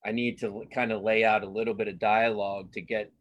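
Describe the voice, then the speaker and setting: Halting, earnest middle-aged man. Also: lowish voice, little pitch movement, dull audio. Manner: halting, self-interrupting, earnest. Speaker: cartoonist being interviewed. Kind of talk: casual live interview.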